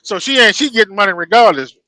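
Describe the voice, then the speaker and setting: A man's voice: sits high, lively intonation, fast, sharp tone, no room sound, rough recording. A man chatting bluntly and casually as a panelist on a sports podcast. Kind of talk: casual, salty sports chat.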